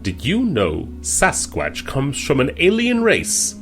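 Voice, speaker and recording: A quirky male believer in the strange, sardonic and eager. His pitch jumps around a lot, over light music in an audio drama. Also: huge pitch swings, takes it slowly, fairly bright tone, heavily acted. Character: quirky, wisecracking male cryptozoologist and conspiracy believer.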